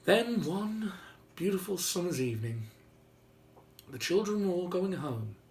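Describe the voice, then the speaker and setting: A man's voice: high voice, lively intonation, measured pace, a little mellow. A man retelling a fairy tale aloud in a theatrical, droll, conversational storytelling style. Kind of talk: animated oral retelling of a fairy tale with playful asides.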